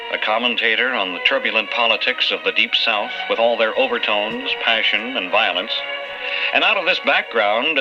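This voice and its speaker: A man announcing as host in a formal, emphatic old-time radio style, reading a scripted introduction. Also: medium pitch. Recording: muffled old radio broadcast.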